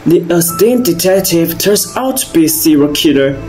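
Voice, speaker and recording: A woman playing a bitter, ironic male detective turned killer in an amateur radio drama, with a deliberate, theatrical delivery. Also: on the low side, expressive, dark tone, faint music behind, natural voice. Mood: mocking, defiant.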